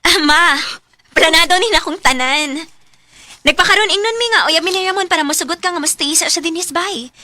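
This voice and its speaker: An actress playing a scheming, affectionate young adult daughter in melodramatic Cebuano radio drama style. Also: female voice, very high voice, a little fast, bright, forceful tone, no echo. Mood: gleeful.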